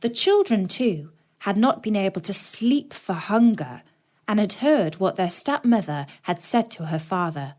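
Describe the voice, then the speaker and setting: A woman narrating a children's story expressively, gently addressing young listeners. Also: medium pitch, very animated, a little slow.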